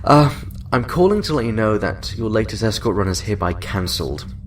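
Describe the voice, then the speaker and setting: An actor playing a genial senior admiral in a science fiction audio drama, measured and formal, sounding a little away from the mic. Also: male voice, lowish voice, expressive, far from the mic, a little hiss or hum, natural voice. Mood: formal, briefing. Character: genial, senior male admiral, chatty then businesslike.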